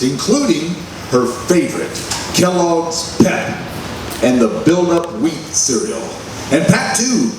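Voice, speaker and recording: A man reading a scripted commercial as an announcer in 1940s radio style. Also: fairly high pitch. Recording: echoey hall, distant mic, faint noise.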